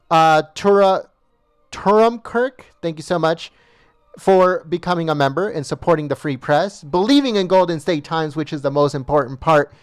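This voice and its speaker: A man's voice: high-pitched, clear, resonant tone, dry recording, studio quality. A man hosting a livestream, reading out viewer names and thanking each in turn while losing his voice, with small stumbles over names. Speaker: livestream host.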